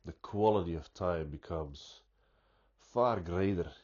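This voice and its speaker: A man with a stuffy nose giving an unscripted, reflective monologue, speaking deliberately and often restarting his sentences. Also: deep voice, a little mellow, highs cut off. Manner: slow, deliberate, thoughtful, with frequent restarts. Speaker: pipe-smoking vlogger.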